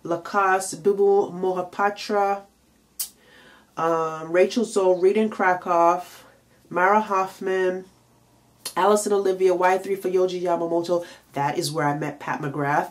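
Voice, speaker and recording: A woman's voice: lowish voice, fairly even intonation, a little slow. A tired, congested woman giving chatty career advice to camera as a makeup and nail artist, with plenty of fillers. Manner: chatty, frequent fillers, emphatic rhetorical questions. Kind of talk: casual advice vlog to camera.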